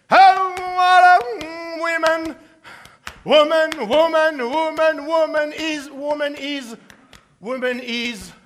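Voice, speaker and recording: A storyteller voicing a gloomy village man who chants his complaints about the world in a loud, sing-song moan. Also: extremely high pitch, voice pushed up, heavily acted.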